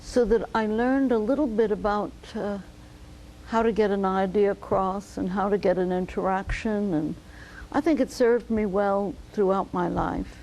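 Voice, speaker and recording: An older woman, a physician, telling her life story slowly and thoughtfully in an oral history interview. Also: mid-range voice, fairly even intonation, creaky, a little mellow, a little hiss or hum, low-quality recording. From United States. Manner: measured, reflective storytelling.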